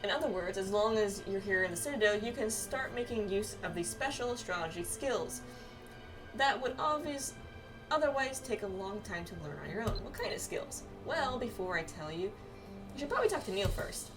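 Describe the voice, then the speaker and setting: A woman's voice: mid-range voice, animated, moderate pace. A woman doing casual, reactive video game playthrough commentary and reading dialogue aloud. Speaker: gamer playing through a video game. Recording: distant mic under clear background music. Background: music.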